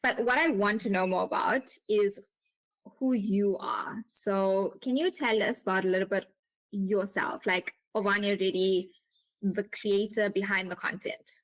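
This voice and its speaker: Woman hosting a podcast, normal mid pitch, encouraging, casual chat. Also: steady tone, unhurried, low-quality recording. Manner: encouraging, appreciative. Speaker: podcast host.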